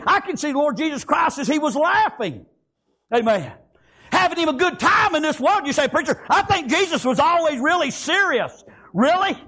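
A man preaching a sermon, his voice riding very high and swinging widely in pitch. He shouts in rhythmic bursts, punctuating nearly every phrase with "praise God" and "amen.".

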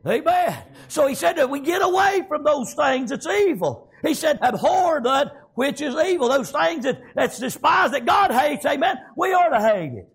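A man's voice: extremely high pitch, expressive, normal speed, creaky, hardly any pauses, a bit bright. A man with a Southern American accent preaching a fervent revivalist sermon in a folksy way, peppered with exclamations of praise. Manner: folksy, colloquial.

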